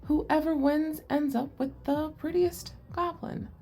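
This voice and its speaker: A woman's voice: sits high, steady tone, takes it slowly, darkish tone, soft background music. A woman playing a shy, curious, nerdy young goblin girl in an ASMR roleplay, speaking earnestly and expressively to the listener. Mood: eager, chatty.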